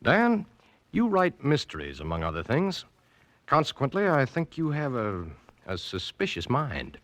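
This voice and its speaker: An actor playing a genial, calculating rich uncle in a 1940s radio drama, speaking with polite, measured urbanity. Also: male voice, mid-range voice, huge pitch swings, a bit bright. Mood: suave, probing.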